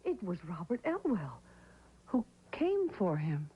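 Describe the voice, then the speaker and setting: A woman's voice: on the low side, wildly swooping pitch, slow pace, breathy edge, exaggerated voice. An actress playing an anxious widow questioning a man, her voice growing frantic, in an old-time radio drama. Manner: probing, increasingly frantic. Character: anxious grieving wife, shaken by a ghostly visit. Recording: dull lo-fi old radio recording.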